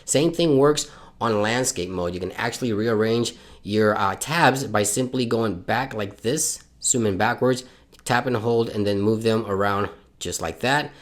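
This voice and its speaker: A man's voice: fairly low pitch, measured pace. A man from Puerto Rico, a bilingual Spanish speaker, hosting a tech channel and walking viewers through phone tricks step by step in an upbeat, instructional way. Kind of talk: tech tips walkthrough.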